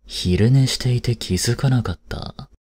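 An actor playing a cold, haughty young prince in an audio drama, with a husky, breathy voice and a curt, imperious manner. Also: male voice, mid-range voice, a little slow, a little mellow. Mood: stern, scolding. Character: cold, haughty young prince scolding and fretting over his maid. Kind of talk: Japanese audio drama acting.

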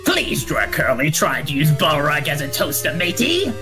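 A woman's voice: low-pitched, big pitch swings, normal speed. A woman voicing a silly cartoon pirate in exclamatory pirate talk for a comic dub. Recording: music behind voice.

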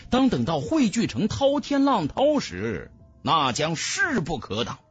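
A man's voice: fairly high pitch, wildly swooping pitch, measured pace, husky, dull audio, faint music behind. A man narrating a fantasy novel as a scripted audiobook, with emphatic, dramatic storytelling.

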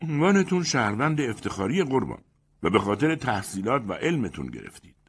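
A man's voice: medium pitch, big pitch swings, breathy edge, pitched above the performer's own voice. A man voicing a deferential male coachman who answers in a dutiful, respectful way.

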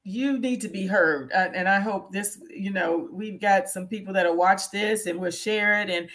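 A woman's voice: medium pitch, moderate pace. A middle-aged woman hosting an interview over a video call from home, speaking in an encouraging, supportive way. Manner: warm, encouraging. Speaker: interview host. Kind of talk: casual interview. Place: home room indoors.

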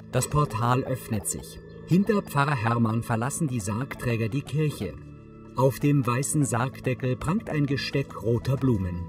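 A man reading scripted narration for a TV series in a measured, matter-of-fact way. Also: medium pitch. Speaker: audio description narrator. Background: music.

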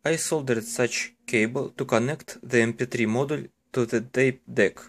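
A man gives step-by-step instructional narration in halting, careful, simple English. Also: medium pitch, a little hiss or hum. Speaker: electronics hobbyist giving a tutorial. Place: indoors at a desk, hands-on demonstration behind the camera.

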